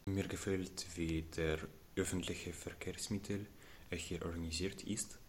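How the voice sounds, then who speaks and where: Male, 16, Ukrainian accent. Low, slow, careful interview answers over faint noise. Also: fairly even intonation. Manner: careful non-native phrasing. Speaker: interviewee, refugee student.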